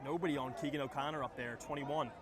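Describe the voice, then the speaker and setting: A man's voice: mid-range voice, fairly even intonation, fast talker. A man adding dry side remarks as color commentator at a lacrosse game. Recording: distant mic under loud crowd noise.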